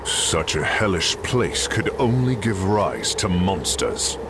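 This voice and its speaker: A man voicing a grim, disdainful warrior in a video game with a gravelly voice. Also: low-pitched, very animated, slow, noisy background, heavily acted. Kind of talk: video game character lines.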